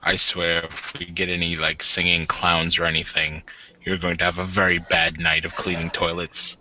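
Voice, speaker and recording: A man's voice: low voice, monotone, normal speed, bright, forceful tone, lo-fi sound, a little hiss or hum, pitched below the performer's own voice. A man voicing a brutish but courteous werewolf warrior bridegroom in a tabletop game, speaking tersely and formally. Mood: stern, annoyed.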